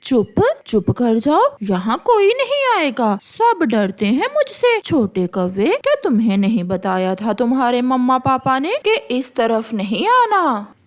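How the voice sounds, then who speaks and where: A predatory old she-eagle from a children's cartoon, acted out by a woman. She speaks slowly in a bullying, threatening way, with big swings of pitch. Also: wildly swooping pitch. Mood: menacing, gloating. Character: menacing old female eagle, hungry predator turned pleading. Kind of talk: Hindi children's cartoon voice acting.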